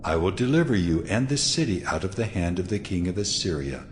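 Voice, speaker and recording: Very deep, majestic God. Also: male voice, expressive, slight background noise. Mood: solemn, reassuring. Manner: solemn, measured. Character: God speaking, majestic, grave and compassionate male voice. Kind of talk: dramatized scripture reading.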